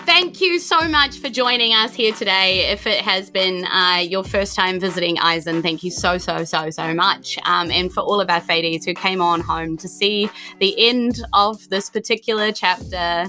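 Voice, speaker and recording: A woman's voice: medium pitch, moderate pace, few pauses, pushed and bright, soft background music. A woman with a New Zealand accent, hosting and narrating a tabletop game, giggly and hesitant with many ums. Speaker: game master and host.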